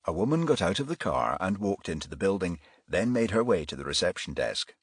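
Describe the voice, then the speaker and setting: A male audiobook narrator with a low voice reads crime fiction. His pitch moves expressively, and the recording is close and dry. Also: big pitch swings, fairly quick, slightly rough, no echo. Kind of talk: scripted crime novel narration.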